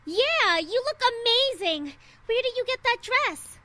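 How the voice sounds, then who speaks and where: A woman's voice: very high-pitched, sing-song, measured pace, pushed and bright, voice pushed up, exaggerated voice. A woman voicing a guarded, curt young woman friend in a visual novel. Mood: impressed.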